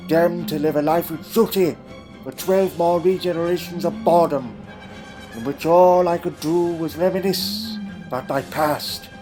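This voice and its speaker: Male actor as a troubled, proud Time Lord for audio drama; slightly high voice, old-fashioned wording, melancholy and guarded, over faint music. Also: moderate pace, close to an everyday voice, low-quality recording. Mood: wistful, haunted.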